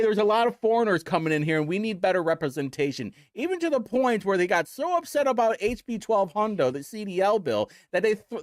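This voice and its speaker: Young Midwestern man, show host. Sarcastic, mocking delivery, lively pitch, dry close sound. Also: no echo. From Indiana, United States. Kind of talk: casual commentary show.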